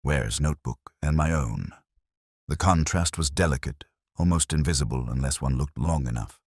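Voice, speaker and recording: Very deep-voiced man narrating a detective story. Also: no echo, clean studio sound. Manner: measured, literary, reflective delivery. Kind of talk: scripted first-person detective story narration.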